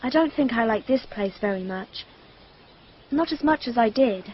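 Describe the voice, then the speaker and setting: A woman plays a twelve-year-old girl in a radio mystery, dreamy yet candid and precise. The voice is high and lilting, on a dull recording. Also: expressive, normal speed, natural voice. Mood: wistful, curious. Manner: matter-of-fact, precise, childlike. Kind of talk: radio drama acting. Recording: dull lo-fi radio drama, noisy.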